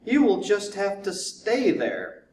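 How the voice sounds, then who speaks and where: Stubborn, kindly old grandmother, performed by a man, emphatic and raised in pitch, storybook read-aloud in a roomy space. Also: wildly swooping pitch, slow, a little mellow, full character voice. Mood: worried. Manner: emphatic, exclaiming. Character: stubborn old grandmother, exasperated but kind. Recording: roomy, distant mic, faint noise.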